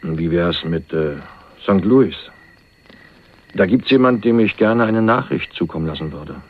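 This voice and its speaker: An actor playing a hunted young millionaire on the run, wry and streetwise, talking in a dry, colloquial, confiding way in a crime radio play. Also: male voice, low voice, lively intonation, measured pace. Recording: muffled phone-like narrowband radio recording.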